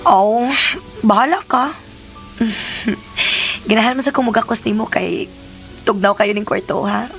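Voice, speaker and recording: Young teasing wife in a radio soap, kinda high voice, bit of music behind. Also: female voice, measured pace, vocal fry. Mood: affectionate, teasing. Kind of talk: radio drama acting.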